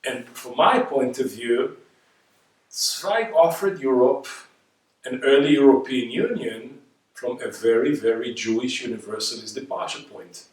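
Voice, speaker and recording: A man's voice: mid-range voice, a little slow. A man with an Israeli accent giving a reflective talk on history, emphatic, with rhetorical questions and points built through repetition. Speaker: guest speaker. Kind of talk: reflective spoken lecture on history. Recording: echoey room, distant microphone.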